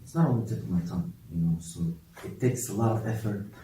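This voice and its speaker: Deep-voiced young man, hesitant, echoey room. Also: fast, warm tone, distant mic, slight background noise. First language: Arabic. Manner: hesitant, many restarts and fillers. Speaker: interviewee. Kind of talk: casual interview answers.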